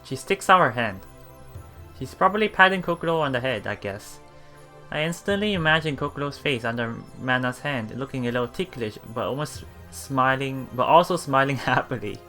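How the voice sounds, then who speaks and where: A man's voice: mid-range voice, expressive, a little fast, pushed and bright. A man doing a let's play, reading a visual novel aloud as narrator and adding casual remarks. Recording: game music behind the voice.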